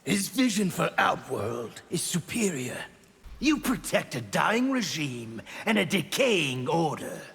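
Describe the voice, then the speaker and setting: An actor playing a ruthless soldier in a fighting game, cold, deliberate and scornful. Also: male voice, on the high side, big pitch swings, vocal fry, fairly bright tone, husky, heavily acted. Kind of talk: video game fight intro dialogue. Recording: reverberant space, faint noise.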